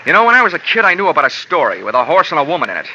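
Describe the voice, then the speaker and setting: A man's voice: fairly high pitch, big pitch swings, rapid-fire, sharp tone, a little hiss or hum, exaggerated voice. An actor playing a hard-boiled male insurance investigator, narrating and talking in a terse, sardonic, wisecracking way for a 1940s radio drama. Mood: bitter. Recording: dull old radio broadcast with music.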